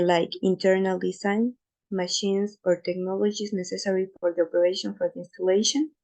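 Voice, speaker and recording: Gravelly-voiced woman presenting slides. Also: medium pitch, warm tone, lo-fi sound. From Ecuador. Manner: careful, slightly halting non-native English with fillers. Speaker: student presenter.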